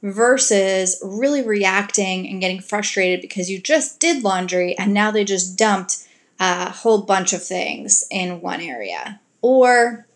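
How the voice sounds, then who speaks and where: A woman giving relationship advice to her audience in an earnest, gentle coaching way, now and then acting out sweet example lines to a partner. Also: mid-range voice, lively intonation, slow. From grew up in a Greek family.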